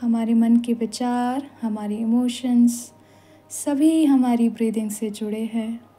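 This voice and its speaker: An Indian woman guides a meditation in a soft-toned, mellow voice that sits a little high. She gives soothing instructions very slowly, leaving long pauses between phrases. Music plays behind her. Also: steady tone. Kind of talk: guided meditation in Hindi with some English phrases. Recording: voice over background music.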